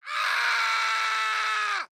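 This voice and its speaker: A man's voice: very high-pitched, monotone, very slow, gravelly, sharp tone, shouting, a little hiss or hum, full character voice. A man playing an eloquent, witty and self-assured wizard in a video game, with articulate, florid, wry delivery. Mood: crying out. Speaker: game character voice actor. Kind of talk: theatrical video game character dialogue.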